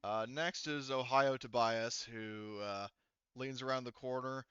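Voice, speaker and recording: Male game master, bright and forceful, clean dry sound, casual rules talk and narration. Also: mid-range voice, fairly even intonation, measured pace, smooth, dry recording, muffled recording.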